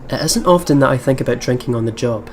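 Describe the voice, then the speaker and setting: A man's voice: mid-range voice, fast talker, slight background noise. A man giving casual, rambling gaming commentary with slang and swearing, sometimes reading text aloud. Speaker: let's play host. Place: at home at a computer setup. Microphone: desk microphone.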